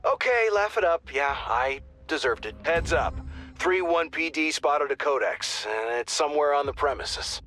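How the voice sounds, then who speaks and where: An actor playing a field support officer on comms who talks in a casual, wry way. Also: male voice, fairly high pitch, expressive, moderate pace, fairly bright tone. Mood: informal. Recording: game audio with faint music.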